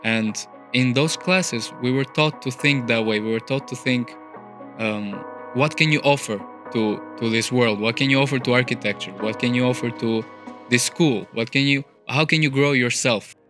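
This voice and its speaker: A man's voice: medium pitch, moderate pace, music in the background. A young man from Albania, an architecture student, chatting as a podcast guest in a thoughtful way with many fillers and restarts. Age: young adult. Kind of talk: casual interview conversation. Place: studio.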